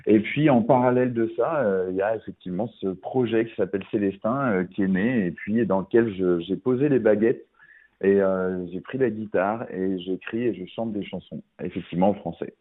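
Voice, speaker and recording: A man's voice: lowish voice, moderate pace. A middle-aged man, a musician guest on a radio interview, talking casually with many fillers and restarts. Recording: tinny phone-like radio sound.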